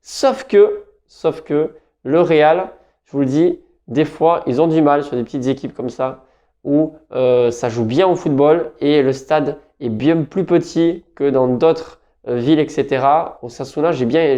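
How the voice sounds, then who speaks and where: A man's voice: a little high, warm tone. A French man giving football betting tips in a casual, rambling talk, often correcting himself. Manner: chatty, rambling, self-correcting. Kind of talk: casual solo talk to camera.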